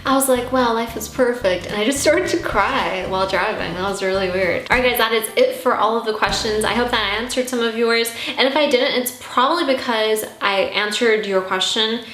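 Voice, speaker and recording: A woman's voice: on the high side, a little fast, hardly any pauses, a bit bright. A young woman whose first language is Armenian, hosting a casual question-and-answer vlog in an earnest, encouraging way. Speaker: YouTube host answering viewer questions. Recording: roomy echo, music behind.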